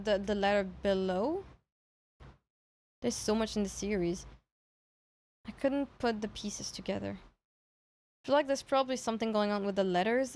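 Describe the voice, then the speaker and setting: A woman's voice: medium pitch, a little mellow. A woman chatting casually on a livestream, hesitant and thinking aloud as she repeats herself. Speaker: streamer reacting to horror videos.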